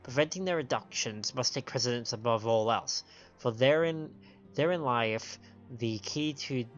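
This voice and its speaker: An androgynous-sounding streamer at a middle pitch reads game dialogue aloud and comments on it. The delivery trips over written lines now and then. The sound is slightly dull, with game music underneath. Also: moderate pace. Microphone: microphone on a stand.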